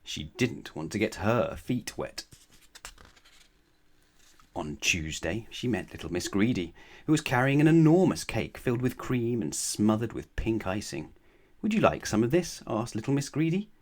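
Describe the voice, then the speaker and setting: Low-pitched man reading a bedtime story. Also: sing-song, measured pace, a little mellow. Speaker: storyteller reading a children's book aloud. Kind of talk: children's bedtime story narration.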